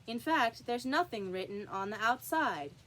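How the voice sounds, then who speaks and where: A woman's voice: fairly high pitch, expressive, a little slow, a bit bright, a little hiss or hum. Woman reading as a fussy, deferential rabbit courtier. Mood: earnest. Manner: respectful, eager.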